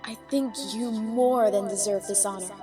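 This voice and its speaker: A woman's voice: mid-range voice, fairly even intonation, normal speed, lightly acted. A woman playing an earnest, apologetic female pony in an audio drama. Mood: regretful, earnest. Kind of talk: fan audio drama acting. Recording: music behind voice.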